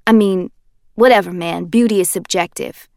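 A woman reading an audiobook voices a brash, joking young man who gets loud, crude and exasperated. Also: on the low side, moderate pace, creaky, no echo, clean studio sound. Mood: indignant. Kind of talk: audiobook character voice.

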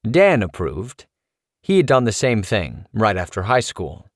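Middle-aged male memoir narrator, expressive, swoopy delivery, dead-dry sound. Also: lowish voice, unhurried, dry recording. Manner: animated, expressive storytelling.

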